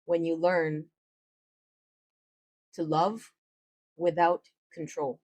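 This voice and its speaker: A woman with a low voice, a tarot reader gently explaining spiritual ideas in a casual, reflective talk to camera. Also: level tone, drawn-out words, breathy edge, no echo.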